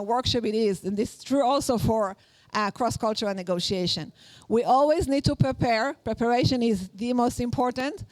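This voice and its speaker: A woman's voice: a little high, a little mellow, no room sound, low-quality recording. A woman from Israel with an Israeli accent, giving a live workshop lecture on negotiation in an earnest, explanatory way with many fillers and restarts.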